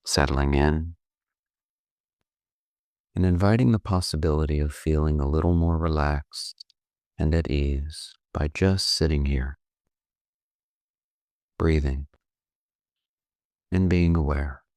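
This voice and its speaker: A man leading a guided meditation as a coach, calm and unhurried, gently instructive with long pauses. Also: very deep voice, no echo, clean studio sound.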